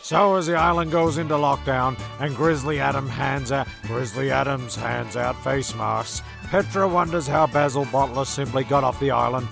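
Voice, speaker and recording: A man playing a flustered, touchy narrator among castaways in a comedy radio play, halting and repeating himself. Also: mid-range voice, steady tone, creaky, over music, close to an everyday voice. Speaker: narrator and character.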